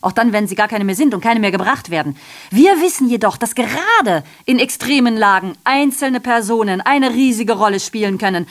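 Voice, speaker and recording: An actress playing an ironic, rambling messenger in a radio drama monologue, sardonic, declamatory and interrupting herself. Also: female voice, a little high, expressive, normal speed. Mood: proud, declamatory. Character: ironic, rambling female messenger addressing the audience.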